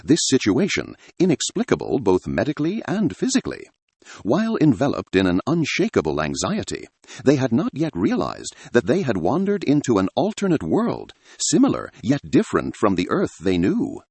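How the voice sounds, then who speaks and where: A man's voice: medium pitch, huge pitch swings, normal speed, a little mellow, breathy edge, dry recording. A man narrating a scripted adventure story in a dramatic style.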